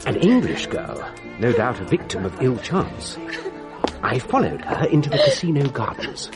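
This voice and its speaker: An actor playing a genteel, fussy old bachelor gentleman in a radio drama, telling his story precisely with theatrical pauses. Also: medium pitch, wildly swooping pitch, loud music behind the voice. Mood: genteel, confiding.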